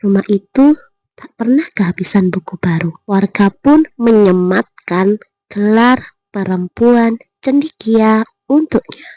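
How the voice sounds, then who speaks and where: A woman storyteller narrating a bedtime fairy tale with expressive, deliberate phrasing. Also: medium pitch.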